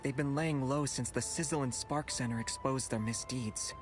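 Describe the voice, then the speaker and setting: A man's voice: mid-range voice, normal speed, music in the background, natural voice. A man playing an earnest, caring and slightly nervous young male companion in a video game. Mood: serious.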